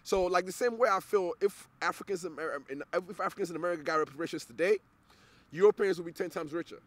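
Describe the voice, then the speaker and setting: Earnest Nigerian man, slightly high voice. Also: fast talker. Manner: earnest, repeats words while searching for them. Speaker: interview guest. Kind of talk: casual interview conversation.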